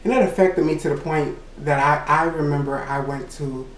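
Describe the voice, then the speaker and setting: A man with a high voice telling a personal story in a casual, rambling way, full of "you know" and quick laughs. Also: roomy sound, recorded from a distance, slight background noise, poor audio. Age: adult. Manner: laughs between remarks. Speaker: vlogger.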